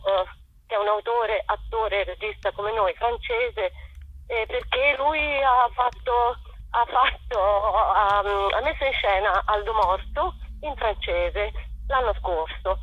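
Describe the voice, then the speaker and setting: A woman's voice: medium pitch, slightly rough. A woman, a theater author and actress, explaining her work hesitantly as a guest in a spontaneous interview over the phone. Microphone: telephone call. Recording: tinny, muffled telephone line with echo.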